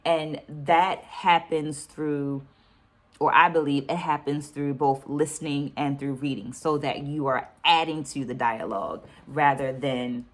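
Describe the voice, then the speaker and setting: Middle-aged woman, low voice, reflective vlog talk, articulate, deliberate phrasing, emphatic repetition. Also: fairly even intonation. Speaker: book reading vlogger, dancer and educator. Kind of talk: reflective book-takeaway vlog, semi-scripted talk to viewers.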